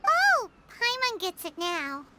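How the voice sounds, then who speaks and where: A woman voicing a tiny, cheeky, excitable fairy companion with a squeaky childlike voice in a video game. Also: takes it slowly, heavy background noise, full character voice. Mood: curious, chatty. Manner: blunt, exclaiming, talks in third person. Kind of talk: video game cutscene acting.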